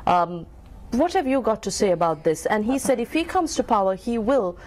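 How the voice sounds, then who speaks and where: A woman's voice: medium pitch, sing-song, normal speed, a little mellow. A woman from Sri Lanka interviewing guests, asking long questions with many hesitant ums and uhs.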